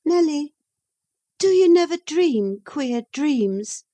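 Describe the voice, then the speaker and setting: A woman's voice: sits high, expressive, slow pace, warm tone, voice pushed up. A woman reading an audiobook, voicing a passionate, willful young woman who speaks with emphatic feeling. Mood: impassioned. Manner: impassioned, emphatic.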